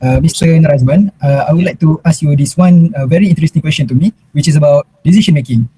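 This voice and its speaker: Fast, husky young Malaysian man. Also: a little high, mellow, rough recording. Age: young adult. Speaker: student interviewer. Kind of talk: formal prepared questions.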